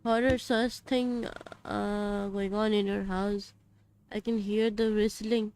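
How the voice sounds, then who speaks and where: A woman's voice: medium pitch, flat delivery, slow, darkish tone, a little hiss or hum. A woman chatting casually on a live game stream, mixing Hindi and English. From India.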